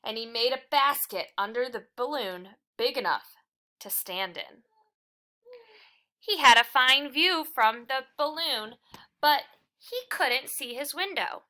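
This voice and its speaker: A woman reading a children's picture book aloud in an expressive storytelling style, with deliberate phrasing and dramatic emphasis. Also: high voice.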